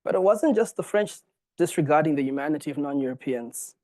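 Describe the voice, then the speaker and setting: Earnest lecturer from Zimbabwe, fairly high voice. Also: male voice, animated, fairly quick, a little mellow. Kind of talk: formal public lecture read from a text.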